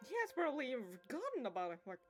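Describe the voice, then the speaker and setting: A woman's voice: sits high, wildly swooping pitch, racing. A woman streaming a game, giving casual, sarcastic and playful reaction commentary. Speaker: gaming streamer. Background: game music.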